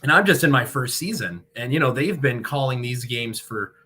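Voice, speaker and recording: A man's voice: mid-range voice, animated, moderate pace, sharp tone, husky. A young man, a sports broadcaster, giving articulate, wordy answers as an interview guest. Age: young adult. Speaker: guest, radio hockey play-by-play broadcaster.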